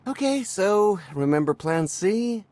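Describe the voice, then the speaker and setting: A man's voice: sits high, very animated, slow, a little mellow, heavily acted. A man voicing a roguish middle-aged smuggler in a video game, wry, breezy and glib. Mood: sheepish, excited. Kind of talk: video game cutscene acting.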